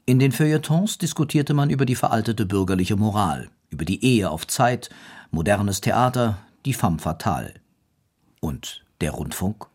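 Low-pitched male documentary narrator. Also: expressive, normal speed, a little mellow, breathy edge. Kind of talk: scripted narration.

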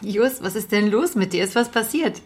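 A woman's voice: medium pitch, animated, normal speed, husky. Young female, mid-range voice, impatient and insistent rich granddaughter, naturalistic radio play dialogue. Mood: concerned, pressing. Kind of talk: naturalistic German radio drama acting.

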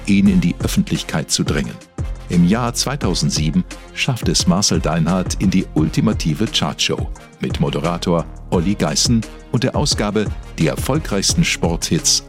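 A man reading scripted mock-documentary narration as the narrator, with music behind him. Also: deep voice. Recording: voice over background music.

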